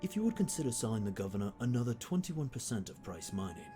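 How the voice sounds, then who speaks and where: A man playing a smooth, pushy business negotiator with veiled menace in a comic dub, insistent and persuasive. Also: mid-range voice, sing-song, fast, over music, natural voice. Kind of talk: acted comic dub dialogue.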